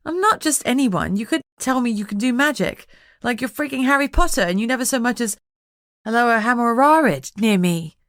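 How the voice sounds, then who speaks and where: A woman voicing an excitable, competitive young werewolf woman who talks high and animated in an audiobook. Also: normal speed, dry recording, studio quality. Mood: indignant.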